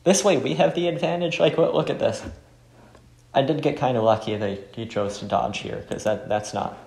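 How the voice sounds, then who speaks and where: A man's voice: mid-range voice, normal speed, creaky, darkish tone. A man giving casual playthrough chat on a game he made, in a jokey, self-deprecating way. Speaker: game developer playing own game. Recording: roomy echo, faint music behind.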